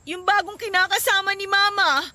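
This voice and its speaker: A woman's voice: very high voice, steady tone, normal speed, bright, forceful tone, dry recording. A woman playing a distressed, pleading young girlfriend in an emotional Filipino radio drama. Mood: distressed, fearful.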